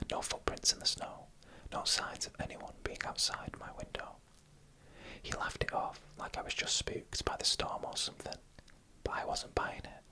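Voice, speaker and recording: A narrator telling stories in a breathy, unvoiced ASMR whisper, in hushed and deliberate short phrases. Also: rough recording. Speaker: narrator and channel host.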